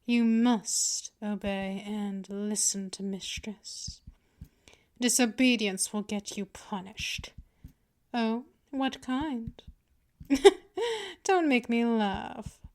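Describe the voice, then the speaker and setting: A woman playing a dominant, patient female vampire mistress in an ASMR roleplay, teasing, menacing and condescending in a deliberate delivery. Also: on the high side, darkish tone. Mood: stern, threatening. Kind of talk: ASMR roleplay, scripted monologue.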